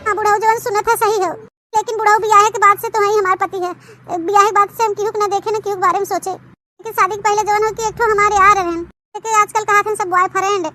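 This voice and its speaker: A woman's voice: very high-pitched, level tone, fast, sharp tone, a little hiss or hum. A woman voicing an old, guilt-ridden village mother-in-law in an Awadhi comedy cartoon, fretful and wailing, with an Awadhi accent. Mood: sheepish confession.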